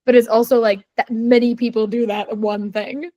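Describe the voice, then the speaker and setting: A woman's voice: on the high side, level tone, normal speed, dark tone, dry recording, lo-fi sound. Chatty woman interviewer, dry sound. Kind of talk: casual interview.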